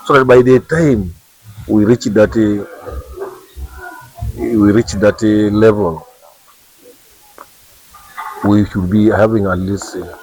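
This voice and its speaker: A man's voice: fairly low pitch, steady tone, takes it slowly, long pauses, a little mellow, slightly rough, poor audio. A man with a Ugandan English accent, a government official explaining chemical safety to workers, often repeating himself and adding "eh?". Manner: explanatory, repeats words, tag "eh?". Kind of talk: awareness talk to workers.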